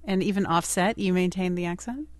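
A woman's voice: lowish voice, brisk, darkish tone, dry recording. A woman hosting a public radio interview, asking questions and laughing along with her guest. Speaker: radio host. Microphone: studio microphone.

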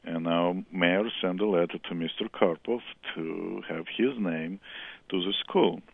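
A deep-voiced man with a strong Russian accent speaks in halting, earnest English. He comes through a tinny, phone-like line with no room sound around him. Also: vocal fry, dry recording. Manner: earnest, halting non-native English with some laughter. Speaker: chess school director. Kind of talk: phone interview.

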